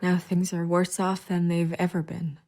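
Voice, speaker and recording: Lowish-voiced, frank young-sounding woman played by an actress. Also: level tone, moderate pace, darkish tone, lightly acted. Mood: troubled. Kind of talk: dramatic video game acting.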